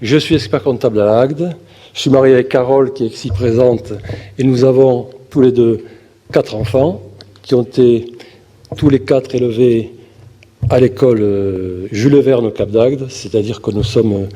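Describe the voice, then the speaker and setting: A man in his fifties from southern France giving a halting campaign speech to a local audience, with fillers and restarts. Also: medium pitch, mellow. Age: 50s. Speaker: municipal election candidate. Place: meeting hall. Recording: long hall reverb with faint room noise.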